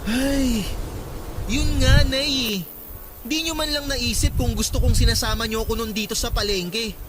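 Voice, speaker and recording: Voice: medium pitch, steady tone, normal speed, background noise, lightly acted. A performer playing a sulky young Filipino boy, a market vendor's son who whines and argues, in a Tagalog radio drama. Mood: complaining. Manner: whiny, argumentative. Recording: loud music under the voice.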